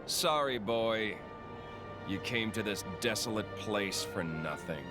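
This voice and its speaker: A man's voice: lowish voice, animated, slow. An actor playing a cruel, mocking, theatrical male villain in a video game cutscene. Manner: taunting, sneering, theatrical. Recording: loud background music.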